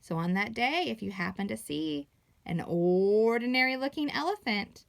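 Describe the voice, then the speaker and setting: A woman reading a children's story aloud expressively, with dramatic pauses and emphasis. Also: mid-range voice, expressive, creaky.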